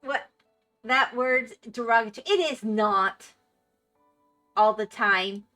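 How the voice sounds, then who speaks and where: Female streamer with a Florida accent, talkative, bright voice. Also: on the high side, takes it slowly, faint music behind. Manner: chatty, reacting to viewer chat. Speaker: live streamer. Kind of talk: casual chat while gaming.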